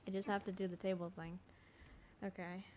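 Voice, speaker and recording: A woman's voice: lowish voice, little pitch movement, a little fast, a little mellow, slight background noise. A woman gamer giving casual, informal reaction commentary while she plays. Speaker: gaming content creator.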